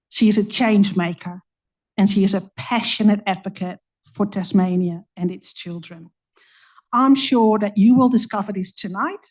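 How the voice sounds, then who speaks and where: A woman's voice: medium pitch, darkish tone. A woman with a Dutch accent, hosting an evening talk and introducing the guest speaker with light asides. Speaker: event host introducing the speaker.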